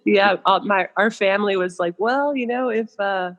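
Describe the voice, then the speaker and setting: A woman's voice: mid-range voice, normal speed, fairly bright tone, long reverb tail, lo-fi sound. A young adult American woman speaking as a podcast guest, telling stories casually in loose, informal phrases with many asides. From United States. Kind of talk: casual conversational storytelling.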